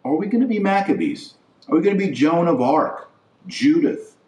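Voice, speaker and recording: A man's voice: fairly high pitch, animated, moderate pace, a little mellow. A man hosting a podcast as a religious commentator, delivering an impassioned, indignant opinion monologue with emphatic repetition and rhetorical questions. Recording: echoey room, slightly distant mic.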